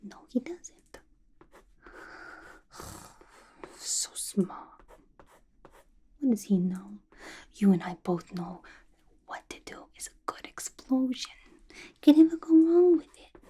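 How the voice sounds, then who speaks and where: A woman playing a young, playful, violent-minded female villain in an ASMR roleplay, gossiping to the listener in a chatty, conspiratorial breathy whisper. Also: on the high side, sing-song, slow, pauses often, heavily acted. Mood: gossipy, scornful. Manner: chatty, conspiratorial, giggly, colloquial. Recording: clear background noise, soft clicks.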